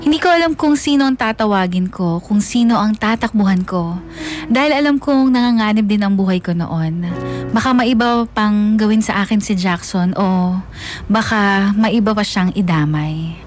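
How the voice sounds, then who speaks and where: A woman's voice: medium pitch, moderate pace, faint music behind. A woman narrating a dramatised first-person radio story, mixing English into her Filipino.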